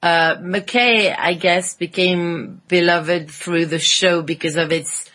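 A woman's voice: fairly low pitch, fairly even intonation, slow pace, a bit bright. A woman on a podcast panel talking casually about fandom in a reflective, analytical way.

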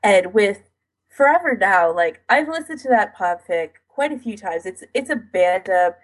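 Husky-voiced woman chatting casually. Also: medium pitch, expressive, moderate pace, rough recording. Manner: informal, frequent "like" as filler. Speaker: podcast co-host. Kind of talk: casual podcast chat.

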